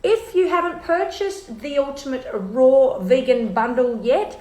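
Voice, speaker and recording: A woman's voice: sits high, lively intonation, a little slow, dark tone, reverberant, rough recording. A woman with an Australian accent hosting a casual live-stream interview, chatty and easygoing, with lots of ums. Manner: chatty, filler-heavy, easygoing. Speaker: host.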